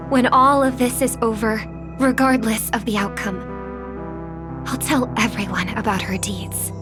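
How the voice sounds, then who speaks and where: A woman playing an earnest, kind young heroine in a video game, speaking gently and sincerely. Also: fairly high pitch, close to an everyday voice. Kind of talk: dramatic video game voice acting.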